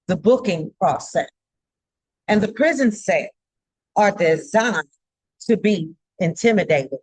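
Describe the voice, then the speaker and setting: A woman delivering a formal scripted public address in a stern, solemn, emphatic manner, with halting phrasing. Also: medium pitch, slightly rough, no echo, poor audio. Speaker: judge giving a public address.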